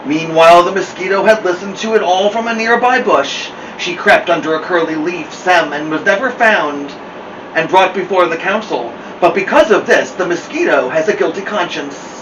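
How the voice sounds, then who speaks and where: Expressive high-voiced man reading stories, distant mic. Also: moderate pace, background noise. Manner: expressive, playful, addressing listeners directly. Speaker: host of a children's story show. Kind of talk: animated read-aloud storytelling with chatty asides.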